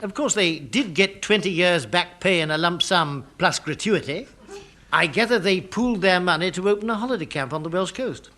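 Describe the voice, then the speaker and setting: An actor playing an anxious, fussy British civil service deputy who talks fretfully and hesitantly in a radio comedy. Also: male voice, high voice, expressive, normal speed, pushed and bright, slight background noise. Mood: concerned.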